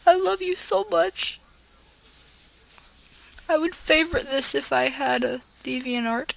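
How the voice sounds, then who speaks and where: A woman's voice: sits high, unhurried, vocal fry, rough recording. A woman with an informal, giggly manner, chatting like a vlogger and reading a story aloud, now and then stumbling over words. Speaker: host of a fan fiction reading video. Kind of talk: casual vlog chat and amateur story read-aloud.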